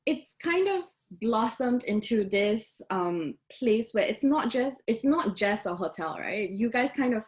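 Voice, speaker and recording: A woman's voice: on the high side, animated, unhurried, hardly any pauses. A woman hosting and moderating a casual interview, introducing guests and asking questions. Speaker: host, moderator.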